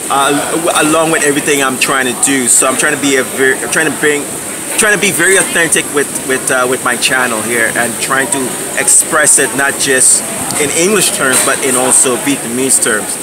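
A middle-aged American man chatting casually to camera in an open-air restaurant at night, with a mild stutter and many fillers and restarts. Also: mid-range voice. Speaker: travel vlogger. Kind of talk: casual vlog chat. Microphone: camera held at arm's length. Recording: loud music and restaurant noise behind.

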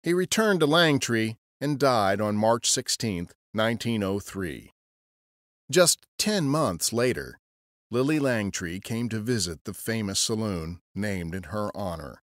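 A man's voice: lowish voice, expressive, unhurried, dry recording, clean studio sound. A man narrating a scripted history podcast as a storyteller.

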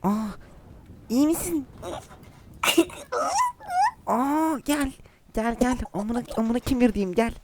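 Woman gamer in voice chat, kinda high voice, breathy sighs n pet names, faint hiss behind.

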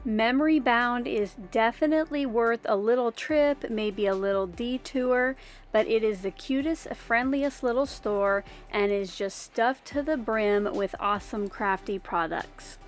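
A woman's voice: a little high, unhurried, faint music behind. A woman in her forties, a craft vlogger, chatting in a friendly, upbeat way into a phone held at arm's length. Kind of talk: casual vlog chat and voice-over narration. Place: inside a car and outdoors at a rest area.

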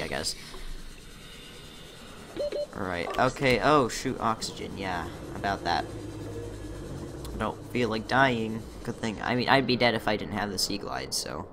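A young man commentating his own gameplay in a casual, chatty way, muttering to himself, his voice slightly rough from a recent illness. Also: fairly low pitch, background noise. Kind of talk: casual let's-play commentary.

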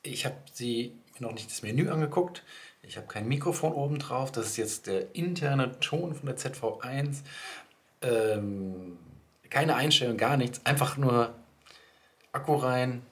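A man chatting casually to his viewers as a vlogger, rambling and thinking aloud. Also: medium pitch. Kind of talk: casual vlog chat. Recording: clearly audible ambient background noise.

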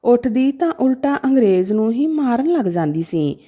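A woman tells a scripted story in a fairly high, mellow voice. Her delivery is emotive and dramatic, with a very lively rise and fall through each sentence. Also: normal speed.

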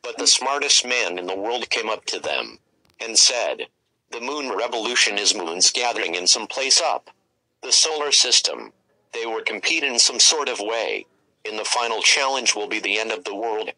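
A man's voice: lowish voice, expressive, normal speed, bright, forceful tone, rough recording. A man delivering scripted, ominous emergency broadcast announcements in the role of an alert announcer.

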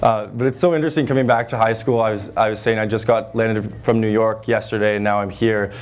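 A man in his twenties from Northern Ontario with a loud voice, giving an earnest talk to a high school class with lots of fillers and restarts. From Northern Ontario, Canada. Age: 20s. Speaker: guest speaker. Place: high school classroom with chalkboards, audience seated.

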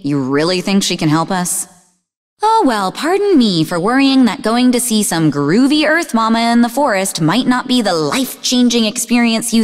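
An actress playing a sarcastic, sharp-tongued young woman scientist in a video game, with a mocking, snappy manner. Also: medium pitch, lightly acted. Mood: scornful. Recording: long reverberant hall echo.

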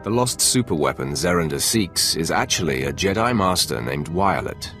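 A dutiful but sardonic Sith apprentice in a video game. His voice sits very low, cool and clipped with confidence, with music playing clearly behind. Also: very animated, normal speed, a bit bright, exaggerated voice. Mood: confident.

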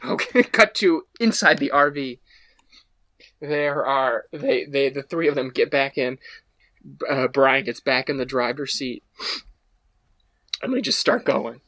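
A man's voice: medium pitch, a little slow, long pauses, pushed and bright, no echo. A young man narrating an improvised comedy scene as a podcast performer. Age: young adult. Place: room at home, on a video call.

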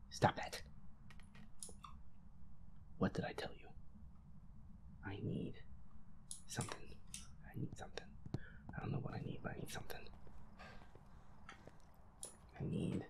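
A man playing a feisty boyfriend in a roleplay audio, muttering whiny, sweary complaints over a scary video game in a breathy half-whisper. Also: measured pace, long pauses, natural voice. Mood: wary, scolding. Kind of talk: roleplay audio, improvised gaming chatter.

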